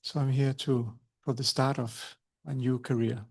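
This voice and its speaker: Man, Danish accent, mid-pitched voice, matter-of-fact. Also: level tone, unhurried, vocal fry, husky. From Denmark. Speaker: workshop participant.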